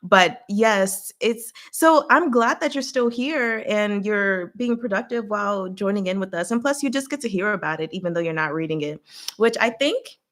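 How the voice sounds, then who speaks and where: Female livestream host, medium pitch, informal and rambling, giggly, reacts with animation. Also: expressive, moderate pace, fairly bright tone. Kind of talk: rambling casual livestream chat.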